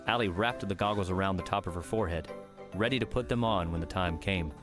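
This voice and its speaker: A man narrating an audiobook in a measured, steady storytelling manner. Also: low-pitched. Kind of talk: scripted narration. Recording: music bed under the voice.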